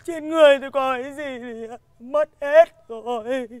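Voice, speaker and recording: Actress as a high-voiced, dramatic Northern Vietnamese wife. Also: female voice, moderate pace, darkish tone, no echo, natural voice. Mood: tearful. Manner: wailing, theatrical complaining. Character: coy, dramatic, aggrieved scheming village wife. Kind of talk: comedy sketch acting.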